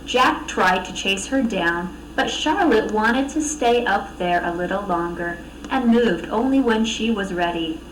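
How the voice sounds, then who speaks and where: A woman reading a children's storybook aloud with expressive narration. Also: mid-range voice, measured pace, hardly any pauses, recorded from a distance. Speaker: storybook reader. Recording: echoey room, off mic, faint noise.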